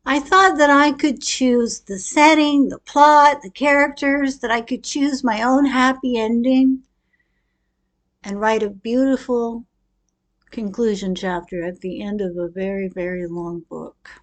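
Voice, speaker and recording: A middle-aged woman tells a personal story in a prepared, reflective way. Her voice sits a little high, and she takes her time, shaping phrases expressively with dramatic pauses. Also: fairly even intonation. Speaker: speaker telling her own life story.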